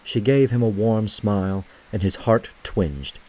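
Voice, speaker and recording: A man narrating a scripted story for an audio drama, with a husky voice and a measured, gentle storytelling manner. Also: fairly low pitch, lively intonation, slight background noise, poor audio.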